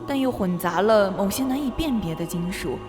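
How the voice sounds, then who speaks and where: An actress playing a kindly elderly museum curator who explains graciously in a radio drama. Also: female voice, medium pitch, lively intonation, normal speed, lightly acted. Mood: presenting. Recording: long hall reverb, music underneath.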